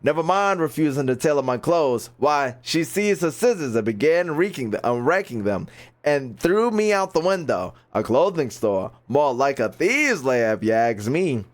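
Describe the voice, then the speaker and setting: A man's voice: fairly high pitch, very animated, normal speed. A man doing casual gaming commentary, reading dialogue aloud and joking in a slangy way. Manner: slangy, jokey. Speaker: gaming YouTuber.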